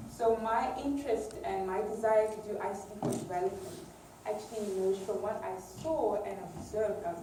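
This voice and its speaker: Female researcher from Uganda, semi-formal lecture delivery with some hesitant repeats; very echoey, noisy recording. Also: medium pitch. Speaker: academic researcher giving an award lecture. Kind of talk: public lecture, semi-informal. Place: large echoey lecture room. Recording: distant mic, very echoey hall, clear background noise.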